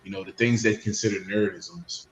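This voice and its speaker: A man's voice: fairly low pitch, steady tone, moderate pace. A man, a panel guest on a video call from home, speaking hesitantly and stopping and starting. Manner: hesitant, full of fillers. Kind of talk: casual video call chat. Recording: dull roomy home mic with faint hum.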